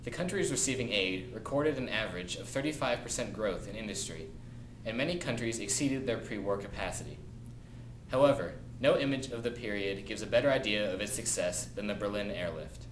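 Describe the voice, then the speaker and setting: A man's voice: fairly low pitch, expressive, fairly quick. A young man delivering a scripted news report as a reporter in an amateur newscast. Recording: echoey room with clear background noise.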